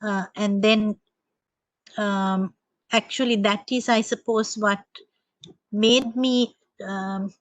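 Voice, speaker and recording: A woman's voice: medium pitch, fairly even intonation, takes it slowly, pauses often, no echo. A woman presenter on a video call panel, answering thoughtfully with frequent hesitations and ums.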